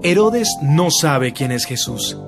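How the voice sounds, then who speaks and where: A man with a fairly high voice reads out headings for an audio Bible. His emphatic announcing has lively intonation, with loud music behind. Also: takes it slowly.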